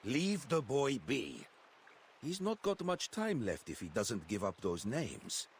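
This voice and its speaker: Swooping, wily older schemer. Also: male voice, mid-range voice, huge pitch swings, takes it slowly, creaky, slight background noise, full character voice. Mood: firm. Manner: theatrical, folksy, ingratiating. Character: wily older male schemer, charming and sly. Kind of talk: video game character acting.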